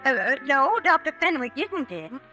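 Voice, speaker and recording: High-pitched radio drama woman. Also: sing-song, normal speed, bright, forceful tone, exaggerated voice. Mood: polite. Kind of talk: 1940s radio acting. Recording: old radio recording with music.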